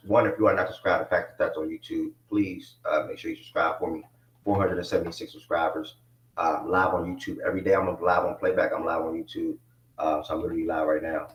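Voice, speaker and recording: A man in his twenties hosting a live sports talk show in a loose, rambling way with plenty of fillers. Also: deep voice. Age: 20s. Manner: rambling, laid-back, many fillers. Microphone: mic not connected, picked up from a distance. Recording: echoey room, voice far from mic.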